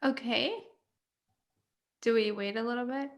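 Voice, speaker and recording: A woman from Mexico speaks with a Mexican accent, chatting casually on a live video call. She is giggly and talkative, with a voice that sits a little high. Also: steady tone, a little slow. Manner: giggly, chatty, laughs often. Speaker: co-host. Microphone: computer mic on a video call.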